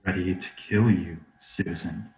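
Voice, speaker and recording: An actor playing a man's reanimated corpse possessed by a sadistic killer, speaking slowly and with menace in a script read. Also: deep voice, steady tone, a little mellow, roomy sound, slight background noise. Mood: sinister, gloating. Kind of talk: screenplay table read.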